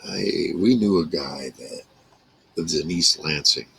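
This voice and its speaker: A man in his sixties with a rough, gravelly voice, rambling casually to camera in halting phrases. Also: low voice, a bit bright, a little hiss or hum, rough recording. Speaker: vlogger reminiscing about a radio hobby. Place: small wood-paneled room indoors.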